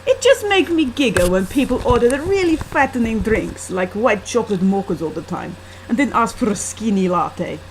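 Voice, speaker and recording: A woman's voice: a little high, lively intonation, moderate pace. Woman doing snide young foreign barista girl from a short story, swoopy voice, slightly noisy webcam audio. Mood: mocking. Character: sniggering young foreign female barista, mildly mocking. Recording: faintly noisy laptop webcam microphone.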